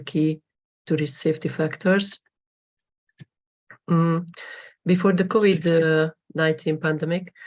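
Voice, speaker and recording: Halting woman presenting research. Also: sits low. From Hungary. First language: Hungarian. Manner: halting, formal, with frequent pauses. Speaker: conference presenter, PhD student. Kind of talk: academic presentation. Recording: dull lo-fi recording.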